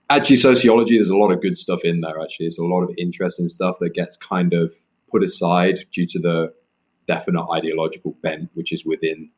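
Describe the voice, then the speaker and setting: A deep-voiced Englishman, talking as a guest on a podcast. He explains things carefully and at length, hedging as he goes. His voice comes through a dull, lo-fi remote connection. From England. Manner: thoughtful, explains at length with qualifiers. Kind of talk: explanatory interview talk.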